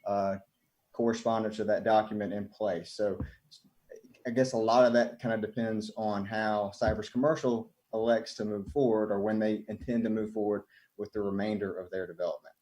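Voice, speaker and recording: Male government official, lowish dark tone, measured bureaucratic answers given unscripted. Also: fairly even intonation. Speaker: transportation department official. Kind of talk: off-the-cuff technical explanation.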